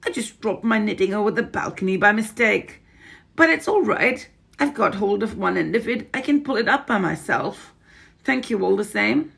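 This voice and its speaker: Woman doing an elderly grandmother, calm, tender, slow, storybook. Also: medium pitch, gravelly, husky, pitched above the performer's own voice, heavily acted. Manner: calm, affectionate.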